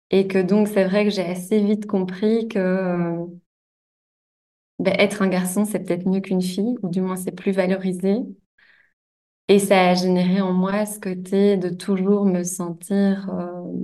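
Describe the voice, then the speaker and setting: Woman, flat mellow voice. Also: fairly low pitch, vocal fry. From Belgium. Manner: slow, thoughtful, frequent hesitations. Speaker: guest, coach. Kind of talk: personal interview storytelling. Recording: voice distant from the mic.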